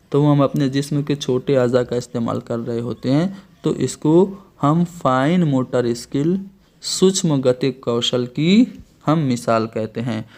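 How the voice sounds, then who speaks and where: Indian-accented male teacher, mid-pitched, methodical step-by-step explainer for an exam-prep lesson. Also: a little mellow. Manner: explains step by step, often restating terms in two languages. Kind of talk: online exam-prep lecture.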